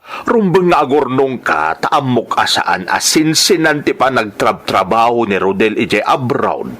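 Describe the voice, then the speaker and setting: A man acts out an older Ilocano father, anxious and chiding. His medium-pitched voice rises and falls dramatically, in the manner of a radio drama. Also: big pitch swings, a little slow, fairly bright tone. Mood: reproachful. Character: worried, scolding older father, thrifty and fretful. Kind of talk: Ilocano audio drama acting.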